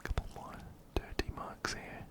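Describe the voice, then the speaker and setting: A man whispering softly and breathily into a close binaural mic as he hosts an ASMR game playthrough, unhurried and often repeating words and phrases. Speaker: ASMR video host. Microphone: close-up binaural mic, ear to ear.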